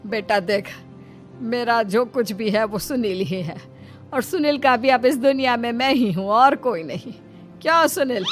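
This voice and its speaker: A woman's voice: fairly high pitch, animated, a little slow, faint music behind. A woman voicing a possessive, ailing old mother-in-law who complains theatrically in a cartoon family drama. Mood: possessive, stern.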